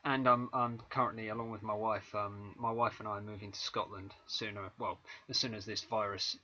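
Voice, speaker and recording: A man's voice: on the low side, fairly even intonation, fairly quick, pushed and bright. A man with an English accent, a guest musician chatting in an interview, talking with frequent ums and restarts. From England. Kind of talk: casual interview chat. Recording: dull lo-fi video call audio.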